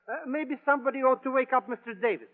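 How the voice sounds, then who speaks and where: An actor playing a naive, hungry, chatty sidekick with an eager, hesitant manner in an old radio drama. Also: male voice, very high-pitched, quick pace. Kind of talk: old-time radio comic acting. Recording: muffled, tinny vintage radio recording.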